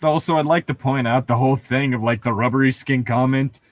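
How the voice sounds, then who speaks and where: A man's voice: medium pitch, fairly even intonation, brisk, no room sound, clean studio sound. A man casually riffing as co-host of a fan fiction reading, joking and laughing often. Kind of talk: casual riffing commentary on a story.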